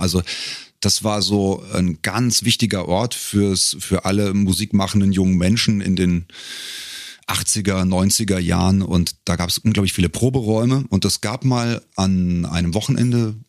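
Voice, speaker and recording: Middle-aged male guest, very low creaky voice, casual storyteller who pauses to choose his words. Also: steady tone, dry recording, studio quality. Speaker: podcast guest, singer and professional voice-over speaker.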